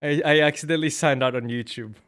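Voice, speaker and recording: A man's voice: a little high, fairly even intonation, fast talker, fairly bright tone. A man chatting casually on a livestream, rambling and swearing freely. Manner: profane, rambling. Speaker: streamer.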